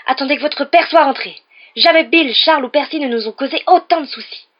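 A woman's voice: fairly low pitch, fairly quick, dull audio, close to an everyday voice. A woman voicing a short, plump, fierce mother who scolds and fusses over children in an audiobook story. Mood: furious scolding. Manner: loud, emphatic, exclaiming scolding.

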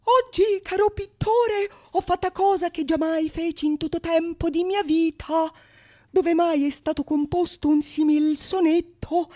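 A woman's voice: extremely high pitch, steady tone, a little slow, a little mellow, voice pushed up. A woman playing a vain, lovesick male fool in a theatrical radio monologue, pompous and self-satisfied. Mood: boastful.

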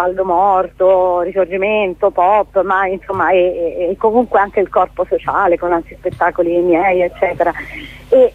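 A woman's voice: mid-range voice, no echo. A woman giving a spontaneous radio interview as a theatre guest, hesitant, often repeating words as she searches for the next one. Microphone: telephone call to a radio station. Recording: tinny, narrow phone line.

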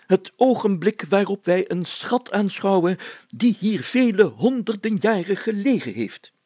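A man's voice: high-pitched, lively intonation, measured pace, mellow, no echo. A man voicing a pompous, solemn and easily irritated village mayor in a children's story, with formal, declamatory delivery. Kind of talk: children's story character acting.

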